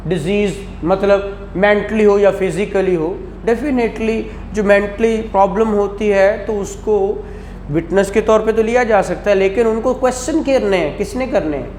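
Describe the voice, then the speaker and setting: A man's voice: sits high, a little mellow. A man with a Pakistani Punjabi accent giving a didactic classroom lecture, often checking in with questions. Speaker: law lecturer. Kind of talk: classroom lecture mixing Urdu and English. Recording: echoey room, faint background noise.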